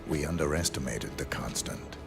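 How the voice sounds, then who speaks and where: An actor playing a stoic assassin who speaks in terse, measured lines. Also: male voice, rumbling low, vocal fry, over music. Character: silent stoic male assassin, terse and cold.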